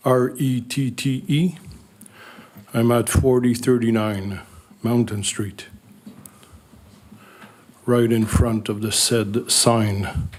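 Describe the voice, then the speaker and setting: An older man with a French Canadian accent, French his first language, speaking earnestly and bluntly as a resident addressing a town council. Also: mid-range voice. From Northern Ontario, Canada. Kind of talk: public comment at a council meeting.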